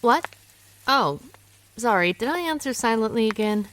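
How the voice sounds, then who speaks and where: Woman actor as a quick-witted radio-play sleuth who runs a restaurant: wry, curious, with plenty of melody in her delivery. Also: on the high side, lively intonation, fairly bright tone, slight background noise. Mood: thoughtful. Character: shrewd woman restaurant owner, amateur sleuth. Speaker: restaurant owner. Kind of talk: radio drama acting.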